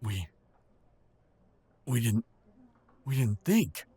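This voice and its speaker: A man's voice: medium pitch, sing-song, very slow, warm tone, husky, exaggerated voice. A man playing a stern middle-aged father in an audio drama, accusing and self-righteous toward his grown son. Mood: stunned. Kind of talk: audio roleplay drama acting.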